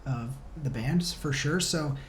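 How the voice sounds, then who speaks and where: A man's voice: fairly high pitch, slow pace, a little hiss or hum. A man speaking as a band guest in a casual interview, earnest and effusive. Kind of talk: casual chat.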